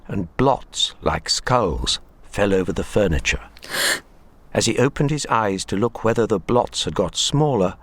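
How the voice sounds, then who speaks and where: A man playing a measured, wry literary narrator in a radio drama. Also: medium pitch.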